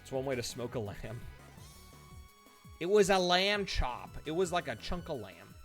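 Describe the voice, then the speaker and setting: A man streaming live, chatting casually to viewers and telling stories in an animated way, acting out his reactions. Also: medium pitch, a little slow. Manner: animated storytelling, mimics his own reactions; laughs easily while talking. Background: background music.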